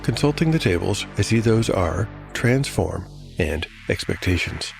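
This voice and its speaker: A man narrates a dark fantasy audiodrama in a low voice. His delivery is measured and literary, with soft music underneath. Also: very animated, creaky. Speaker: narrator and solo game master.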